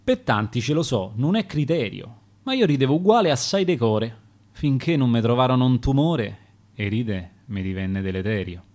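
A man's voice: mid-range voice, big pitch swings, normal speed, darkish tone. A man with a Roman accent reciting his own dialect sonnets for radio in a rhythmic, dramatic way. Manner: rhythmic, dramatic verse delivery.

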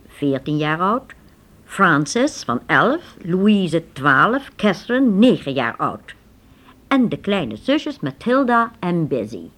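Lady narrating a radio play, lowish voice, super animated melody, dramatic storytelling.

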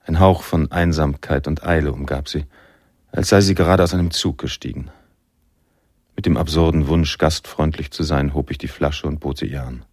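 A man's voice: extremely low pitch, steady tone, moderate pace, a little mellow, dry recording, clean studio sound. An actor playing a reflective, world-weary male narrator in a noir radio drama, telling the story in literary prose. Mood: reflective, melancholy.

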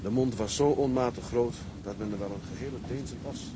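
An actor playing a gruff but kindly seaman in a radio drama, talking in a homely, muttering way. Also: medium pitch, brisk, noisy background, natural voice. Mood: solemn reading aloud. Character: gruff, kindly seaman tending a rescued castaway.

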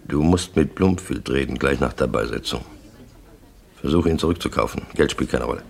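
Abgrundtief knarrender, bedrohlicher Gangsterboss. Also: male voice, very deep voice, full character voice. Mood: quietly threatening. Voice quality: gravelly. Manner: terse, commanding, deliberate. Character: calm, menacing male crime syndicate boss. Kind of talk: German radio crime drama acting.

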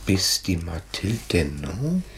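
An actor in a 1970s German radio play playing an amorous, bewildered aristocratic marquis, languid and hesitant. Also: male voice, low-pitched, sing-song, takes it slowly, a little hiss or hum, full character voice.

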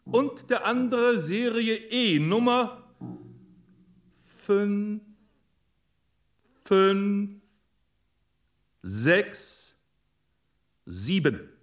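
An actor playing a suave, commanding stage hypnotist and mind reader in a radio drama, speaking formally and deliberately. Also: male voice, sits high, very animated, full character voice. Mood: assured, commanding. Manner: deliberate, formal, polite but imperious. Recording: piano music behind the voice.

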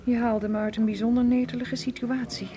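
A woman's voice: fairly high pitch, level tone, a little fast, over music, natural voice. An actress in a Dutch radio play playing a sleuth's good-natured female accomplice. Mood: relieved, curious.

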